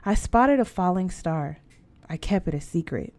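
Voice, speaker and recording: A woman's voice: on the low side, expressive, moderate pace, darkish tone. A woman narrating a first-person story as an audiobook reader, in a scripted storytelling style.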